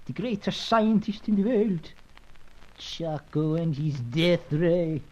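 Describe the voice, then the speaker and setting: A crazy little old scientist in a radio adventure serial. He rambles and boasts erratically in a high, drawn-out voice. The sound is dull and vintage. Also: lively intonation, dark tone. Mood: boastful, manic. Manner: wandering, grandiose, erratic.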